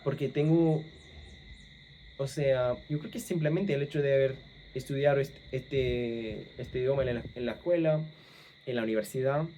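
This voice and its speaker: A man's voice: mid-range voice, normal speed, dark tone, a little hiss or hum. English man speaking Argentine-tinged Spanish. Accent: English speaker with Argentine-leaning Spanish. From England. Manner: thinking aloud, many fillers and self-corrections. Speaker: language-learning vlogger. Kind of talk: casual monologue to camera.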